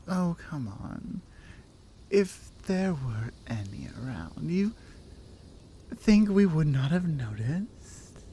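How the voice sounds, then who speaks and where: Actress as teasing Nordic warrior woman, ASMR audio drama. Nordic accent, mellow and slow, playfully dry and doubting. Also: lowish voice, slightly rough, exaggerated voice. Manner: playful, dry, conversational with pauses. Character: strong, teasing, skeptical female Nordic warrior.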